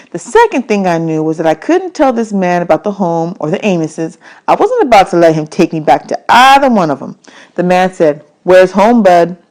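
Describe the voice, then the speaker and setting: A woman reading a children's novel aloud as an expressive audiobook, with deliberate, emphatic storytelling. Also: on the low side.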